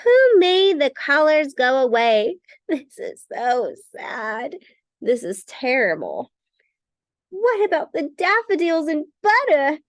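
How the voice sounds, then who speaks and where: A woman voicing small, excitable talking crayons in a children's storybook, exclamatory and melodramatic. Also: high-pitched, slow pace, no room sound, voice pushed up, exaggerated voice. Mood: dismayed.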